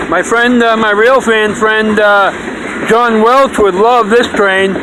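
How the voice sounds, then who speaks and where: A man hosting a casual railfan video outdoors beside the tracks, speaking in a halting, hesitant way. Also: steady tone. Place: outdoors beside a railroad crossing. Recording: noisy outdoor recording with train rumble. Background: passing train and outdoor noise.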